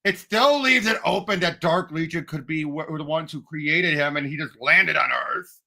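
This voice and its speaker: A man co-hosting a podcast, discussing a movie casually in an excitable, emphatic fan's way. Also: a little high, normal speed, gravelly. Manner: excitable, emphatic fan talk. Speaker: podcast co-host.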